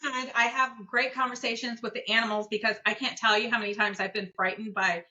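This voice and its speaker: Bright-voiced businesswoman guest, lo-fi sound. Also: on the high side, fairly even intonation, quick pace. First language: Korean. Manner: warm, reflective, many fillers. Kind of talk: casual interview conversation. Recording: dull lo-fi audio.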